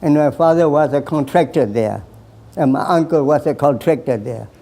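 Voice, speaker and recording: A man in his nineties from Burma, slowly telling his life story with hesitant pauses and repeated phrases. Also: a little high, vocal fry, dark tone. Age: 90s. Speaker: veteran recounting his life. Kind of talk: slow personal storytelling.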